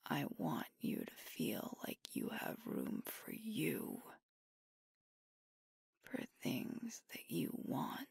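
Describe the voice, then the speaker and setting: A woman voicing a sweet, devoted werewolf girlfriend in an ASMR roleplay, whispering tenderly and unhurriedly with a breathy voice close to the mic. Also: sits low, wildly swooping pitch, a little mellow, no echo, heavily acted. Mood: gentle concern. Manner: tender, earnest, soothing, unhurried. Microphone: close microphone, dry.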